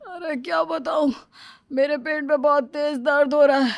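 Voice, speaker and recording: A woman's voice: high-pitched, steady tone, moderate pace, gravelly, no room sound. A woman voicing a panicking young husband in pain, with a whiny, pained voice for a cartoon. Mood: groaning. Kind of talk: cartoon voice acting.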